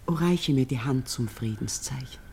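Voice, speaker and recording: An actress playing a noble, devout young priestess in a classical radio drama, pleading in solemn, declaimed verse. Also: female voice, extremely low pitch, normal speed, warm tone. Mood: gentle pleading.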